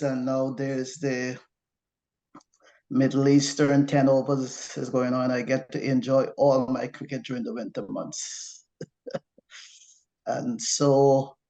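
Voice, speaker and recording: Slow mellow man from Guyana. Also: medium pitch, low-quality recording. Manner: relaxed, chuckles. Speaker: meeting guest. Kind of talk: impromptu speech.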